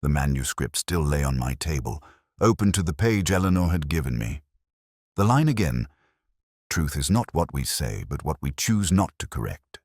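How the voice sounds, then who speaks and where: An extremely deep-voiced, melancholy detective played by a man tells his own case as a literary audio mystery. He is solemn and reflective, setting out short measured phrases with a lively rise and fall. The sound is close and dry. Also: no echo, full character voice. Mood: haunted, grave. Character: melancholy, brooding male English detective inspector narrating his case. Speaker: first-person narrator.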